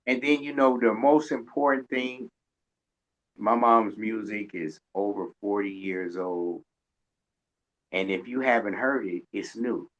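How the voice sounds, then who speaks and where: A man's voice: fairly low pitch, fairly even intonation, measured pace, fairly bright tone, lo-fi sound. A man hosting a radio show, chatting casually in a folksy, colloquial storytelling way. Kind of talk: casual interview chat.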